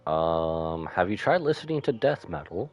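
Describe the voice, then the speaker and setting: A man's voice: low voice, very animated, normal speed, dull audio. A man giving casual, joking live commentary as he streams a video game. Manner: joking, offhand. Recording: faint game music behind.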